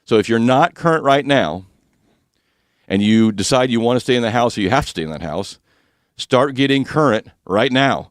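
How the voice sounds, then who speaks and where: A man hosting a finance talk radio show, speaking with a slightly rough, husky voice and explaining mortgage rules in a chatty, joking way. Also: medium pitch, lively intonation, moderate pace, no echo, clean studio sound.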